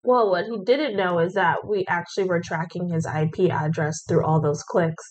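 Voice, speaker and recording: Lower-voiced woman host. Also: steady tone, moderate pace, a little mellow.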